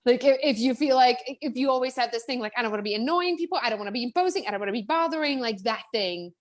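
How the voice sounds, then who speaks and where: A woman with a Czech accent, a guest speaker in a live-streamed interview, talking in a chatty, animated way with many restarts and fillers. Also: on the high side, rapid-fire. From Czech Republic.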